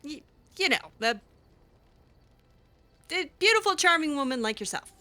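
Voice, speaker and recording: A woman improvising casual in-character game roleplay, speaking in a halting, awkward but expressive way. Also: sits high, dry recording. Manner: halting, awkward, expressive, breaks into laughs and sighs. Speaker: roleplay gamer, series host.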